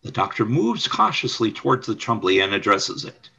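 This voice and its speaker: A man's voice: lowish voice, big pitch swings, normal speed, vocal fry, sharp tone, breathy edge, lo-fi sound. A man reading scripted scene narration for a radio play. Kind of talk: scripted narration of stage directions.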